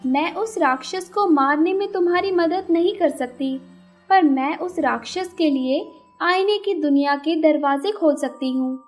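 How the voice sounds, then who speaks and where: A solemn magical helper from a cartoon fable, voiced by a woman, talks in a high register. She explains slowly and gravely.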